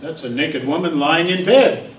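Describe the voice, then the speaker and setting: A man's voice: on the high side, normal speed, slight background noise, voice pushed up, close to an everyday voice. A man voicing an indignant, defensive male therapy patient, heard in a roomy hall from a distance.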